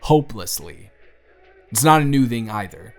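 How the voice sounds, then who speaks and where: A young man playing an earnest, lovestruck best friend in an intimate audio roleplay, speaking in a halting, conversational way. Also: mid-range voice, big pitch swings. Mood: earnest, confessing. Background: background music.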